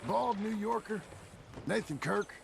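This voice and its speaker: A man playing a gruff, plainspoken outlaw turned rancher in a video game, with a gravelly, laconic American Western drawl. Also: sits high, unhurried, background noise. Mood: inquiring. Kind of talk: naturalistic video game acting. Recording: music under the voice.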